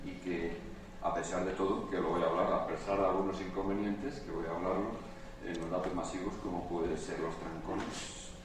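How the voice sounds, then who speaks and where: A man's voice: deep voice, fairly even intonation, a little fast. Spanish man lecturing in an echoey hall. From Spain. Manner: hesitant, many filler sounds, addresses audience directly. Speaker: conference speaker. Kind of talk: live conference talk. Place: conference hall. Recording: roomy hall sound from far off with faint noise.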